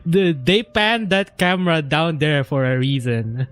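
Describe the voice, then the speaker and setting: Slow, higher-voiced Filipino man streaming. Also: fairly bright tone. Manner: slangy, swearing. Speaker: game streamer. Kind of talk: casual live stream commentary mixing English and Filipino. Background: game music.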